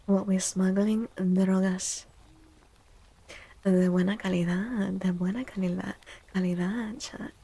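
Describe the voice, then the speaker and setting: A woman speaking in soft, hushed, breathy ASMR style, often slipping into whispers, chatting playfully with livestream viewers. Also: medium pitch, steady tone, measured pace. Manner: playful, teasing. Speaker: ASMR streamer and tarot reader.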